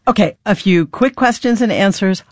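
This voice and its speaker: A woman's voice: mid-range voice, expressive, moderate pace, no echo. An American woman hosting public radio in a studio, moving between scripted narration and interview questions on a close mic. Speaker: radio host interviewing a guest. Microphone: close studio microphone.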